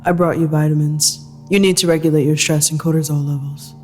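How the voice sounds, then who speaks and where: A woman playing a cold, controlling, wealthy female executive in an audio drama, speaking in a deliberate, commanding, clipped way. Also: low-pitched, fairly even intonation, darkish tone, soft background music, pitched below the performer's own voice, close to an everyday voice. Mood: controlled, insistent. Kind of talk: dramatic audio fiction acting.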